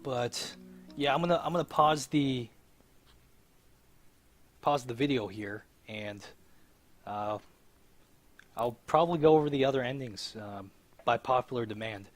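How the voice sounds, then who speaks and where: A man's voice: mid-range voice, measured pace, long pauses. A man commentating casually on a game as he plays, reading bits aloud and tossing in joking reactions. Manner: joking asides and exclamations over the story. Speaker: let's play host. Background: game music with plucked guitar.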